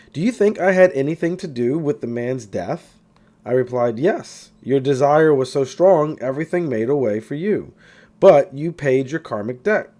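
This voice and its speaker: A man reading a spiritual self-help book aloud in a measured, emphatic, instructive way. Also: medium pitch, warm tone. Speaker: audiobook reader.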